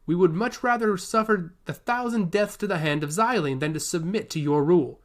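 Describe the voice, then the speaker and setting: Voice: mid-range voice, a little fast, lightly acted. A narrator voicing a proud, angry old island king in a fantasy story, speaking in formal, indignant declamation. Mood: defiant fury.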